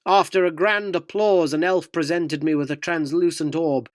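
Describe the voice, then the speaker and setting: A man's voice: fairly high pitch, steady tone, normal speed, dry recording, studio quality, lightly acted. A man playing a cynical, self-loathing tabloid journalist in a radio drama, wry, wordy and theatrical. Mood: wry narrating. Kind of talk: radio drama narration and dialogue.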